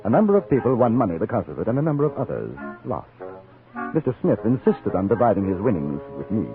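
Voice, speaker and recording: An actor playing an urbane newspaper reporter out West, narrating a 1950s radio drama with dry, understated wit. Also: male voice, fairly low pitch, very animated, fast. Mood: wry, reflective. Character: wry, urbane English newspaper reporter and gunman in Old West. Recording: muffled old radio recording, orchestral music.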